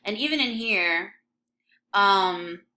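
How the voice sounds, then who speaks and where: A woman's voice: medium pitch, lively intonation, takes it slowly, sharp tone, rough recording. A young woman from Michigan with a slight Michigan accent, teaching an English lesson in a casual, chatty way full of ums and likes. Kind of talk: recorded classroom lesson over a scrolling text.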